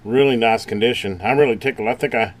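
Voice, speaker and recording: A man giving a casual hands-on repair demonstration, folksy and unhurried as he talks while working. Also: mid-range voice. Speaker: channel host doing electronics repair. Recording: noisy room, clearly audible background noise.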